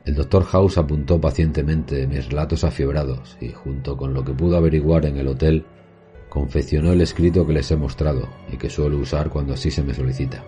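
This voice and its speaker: A very deep, dark actor's voice of a haunted male professor tells a horror story. The delivery is solemn and measured, with literary phrasing. Faint music plays underneath. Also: fairly even intonation. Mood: sombre recollection. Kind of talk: scripted horror narration.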